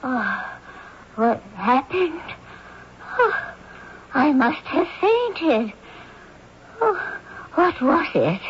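A woman's voice: sits high, very animated, very slow, pauses often, heavily acted. An actress playing a timid, frightened woman in a radio drama, breathless and fearful. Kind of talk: 1970s radio drama acting. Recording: dull old radio recording, faint hiss.

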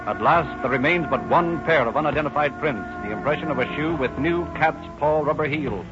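An actor as a grave, formal American narrator, reading scripted 1930s radio crime narration. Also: male voice, on the high side, animated, brisk, over music, natural voice. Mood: measured. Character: grave, formal male narrator of police story. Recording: dull old radio recording.

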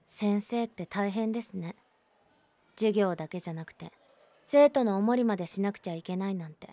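A woman's voice: medium pitch, moderate pace, mellow, no echo, natural voice. A woman doing a curt, sarcastic schoolgirl. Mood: cold. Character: blunt, prickly teenage schoolgirl. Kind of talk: voice drama acting.

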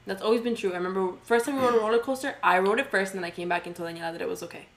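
A woman's voice: medium pitch, fairly even intonation, rapid-fire. Giggly young woman rambling casually. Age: 20s. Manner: giggly, rambling with many fillers. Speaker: podcast co-host. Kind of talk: casual chat.

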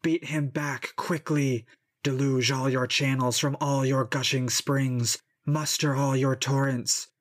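A vast, wrathful river god hurls booming threats, rising higher and louder than the storyteller's own voice, as a man puts him on in an epic reading. The delivery turns slow and heavy on the biggest images. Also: level tone, lightly acted. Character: raging river god, male, vast and furious.

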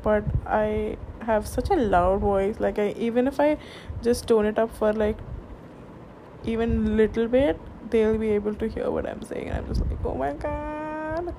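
Young woman, hushed late-night ramble, slightly high, unhurried, noisy hiss behind. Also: fairly even intonation. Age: young adult. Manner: hushed, rambling, many fillers and restarts. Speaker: vlogger. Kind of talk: late-night casual chat. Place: home at night.

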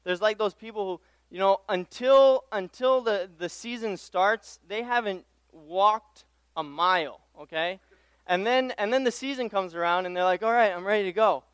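A man's voice: high voice, moderate pace, a bit bright, clean tone, no room sound. A young man from Tanzania giving an informal, conversational sermon, casual and self-deprecating, often repeating his phrases. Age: young adult. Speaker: pastoral intern preaching as guest speaker. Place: church pulpit.

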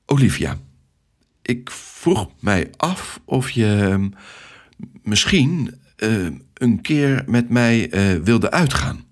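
A man's voice: mid-range voice, lively intonation, slow. A man voicing a shy, awkward storybook hero who is nervous about romance and speaks in hesitant stammers. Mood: nervous, hopeful.

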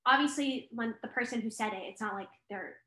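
A woman's voice: on the high side, a little fast, a bit bright. A young woman co-hosting a casual chat, talking freely with lots of fillers and laughs. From Chinese heritage. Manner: chatty, laughs often, many fillers. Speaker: co-host. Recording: echoey room.

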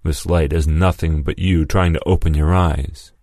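A man voicing Lewis, an angry, vengeful former comrade who shouts accusations and whose voice cracks. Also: rumbling low, fairly even intonation, moderate pace, dry recording, studio quality. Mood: cold accusing.

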